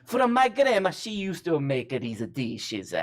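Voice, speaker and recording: Someone putting on a halting fake-Italian chef. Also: medium pitch, wildly swooping pitch. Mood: earnest. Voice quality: bad fake Italian accent. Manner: hesitant, halting with many uh pauses. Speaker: comedy guest character.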